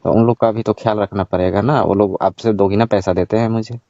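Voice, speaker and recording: A man's voice: on the low side, fairly even intonation, brisk, dark tone, dry recording, lightly acted. A man playing a cheeky, money-minded village ice-cream seller in a staged phone-call skit, matter-of-fact, teasing and evasive. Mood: businesslike. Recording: dull, lo-fi call recording.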